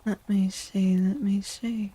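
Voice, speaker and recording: A woman speaking in a soft ASMR style as a streamer doing a tarot reading, hushed and often slipping into whispers. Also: medium pitch, fairly even intonation, takes it slowly, slightly rough, low-quality recording.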